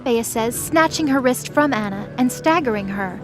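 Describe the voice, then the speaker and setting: A woman's voice: fairly high pitch, animated, moderate pace. A woman reading scripted story narration for a fantasy audio drama. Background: music.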